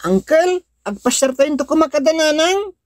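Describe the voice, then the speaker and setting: A woman's voice: high-pitched, animated, normal speed, no echo. A woman with an Ilocano accent playing an eager, talkative young child in a radio-style drama. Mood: eager, innocent.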